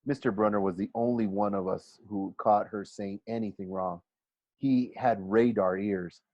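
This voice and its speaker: A man with a low voice narrates a story as a teacher would, with expression. He sometimes trips over a phrase and corrects it, and the recording is close and dry. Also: fairly even intonation, moderate pace, no room sound, highs cut off. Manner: stumbles and corrects misreadings. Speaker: teacher reading aloud.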